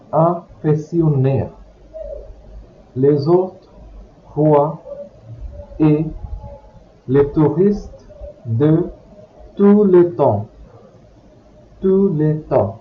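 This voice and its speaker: A man's voice: fairly high pitch, slight background noise. A man teaching a language by reading short passages aloud, then repeating them word by word. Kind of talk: slow read-aloud lesson for learners. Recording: echoey, dull lo-fi recording.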